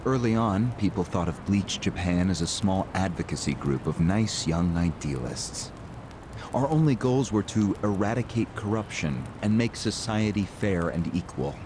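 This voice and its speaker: An actor playing a smug, calculating political schemer who explains things at length in a video game cutscene. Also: male voice, low-pitched, expressive, moderate pace, background noise. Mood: cynical, boastful. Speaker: character in a crime drama video game.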